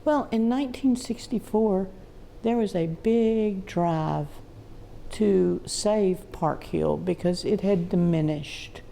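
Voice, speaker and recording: A woman's voice: mid-range voice, slow pace, mellow. A woman, explaining local history as a museum tour guide. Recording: clear background noise, room ambience.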